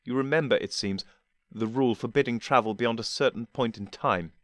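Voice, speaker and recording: A man voicing a cool, dispassionate older man who speaks calmly and dryly, with gentle teasing. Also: medium pitch, brisk, dry recording, close to an everyday voice. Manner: calm, dry, gently teasing.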